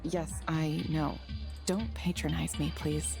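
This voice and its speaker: A woman's voice: low voice, level tone, takes it slowly, vocal fry, over music, full character voice. An actress playing a reserved, guarded woman with a terse way of speaking in a video game. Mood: irritated.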